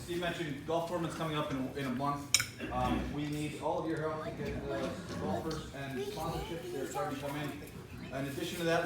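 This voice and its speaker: Man, matter-of-fact, slightly high voice, very echoey, distant. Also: background noise. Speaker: committee member. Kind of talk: meeting announcement. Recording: very echoey room from far off.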